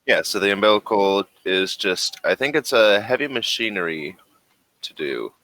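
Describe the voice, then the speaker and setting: A man running a tabletop role-playing session as game master, talking in a relaxed, halting way with many fillers. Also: lowish voice, no echo.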